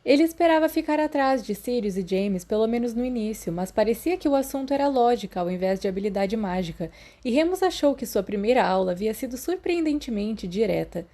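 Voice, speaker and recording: A woman's voice: a little high, expressive, fairly quick, few pauses, mellow. A woman with a Brazilian accent narrating a story from a script as an audiobook reader, with the odd small stumble.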